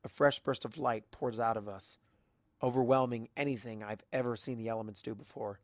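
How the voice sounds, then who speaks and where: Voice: medium pitch, fairly even intonation, brisk, no room sound. Dramatic storyteller with a dry, close sound. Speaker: fan fiction reader. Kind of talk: dramatic storytelling narration with acted dialogue.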